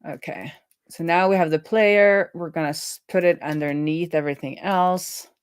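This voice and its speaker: A woman live-streaming as a programmer, thinking aloud in a casual, halting way as she mutters through her work. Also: lowish voice, fairly even intonation, clean tone, dry recording. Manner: halting, muttering to herself while working.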